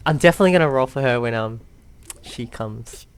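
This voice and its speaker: Very low-voiced woman gaming, chatty. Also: lively intonation, a little fast, slight background noise. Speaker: gaming streamer. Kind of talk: casual let's play commentary. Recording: game music behind the voice.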